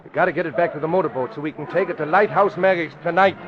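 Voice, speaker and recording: A man acting as a nervous, urgent smuggler crewman in a radio play. His voice is fairly high, over clear noise on a dull old recording. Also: rapid-fire, natural voice. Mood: anxious urgency.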